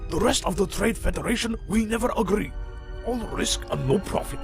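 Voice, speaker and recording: A man's voice: high voice, expressive, fairly quick, vocal fry. A man playing an indignant, greedy alien official in a comic dub, defensive and evasive, with music behind him. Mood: dismissive. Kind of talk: comic dub character acting.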